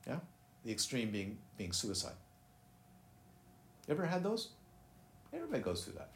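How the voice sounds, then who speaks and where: A man's voice: mid-range voice, wildly swooping pitch, normal speed, vocal fry. A man teaching meditation in a casual, rambling way, often breaking off and putting questions to his listeners. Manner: rambling, self-interrupting, asks listeners questions. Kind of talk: dharma talk. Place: busy room. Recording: echoey room, faint ambient noise.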